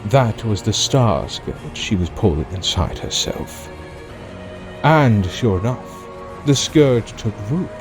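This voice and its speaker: A man playing a sardonic, amused villain who narrates an audio drama in a theatrical, mocking, drawn-out way. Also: lowish voice.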